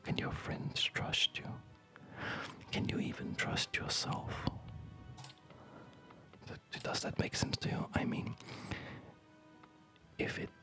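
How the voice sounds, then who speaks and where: A man whispering in a hesitant, halting, reflective way, as in a rambling ASMR video. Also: sharp tone. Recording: music behind the whisper. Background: music.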